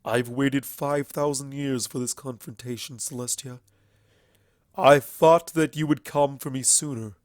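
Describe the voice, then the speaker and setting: A man voicing a bitter, grieving, proud ancient stallion in a storybook reading, forceful and declamatory. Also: mid-range voice, dry recording, studio quality, lightly acted. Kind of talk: dramatic fan fiction character reading.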